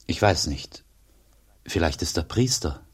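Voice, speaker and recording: A man's voice: low voice, animated, unhurried, husky, exaggerated voice. A man playing a humble, earnest wandering priest in a radio drama, grave and simple in his speech. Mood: humble, searching. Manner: grave, simple, sparing with words. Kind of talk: German radio drama acting.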